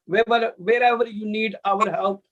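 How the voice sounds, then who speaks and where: A man with a Pakistani accent speaks as an activist over a video call. His voice sits high, and the call audio is muffled and lo-fi. He talks earnestly, with loose grammar and many fillers. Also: steady tone, normal speed. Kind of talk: informal speech.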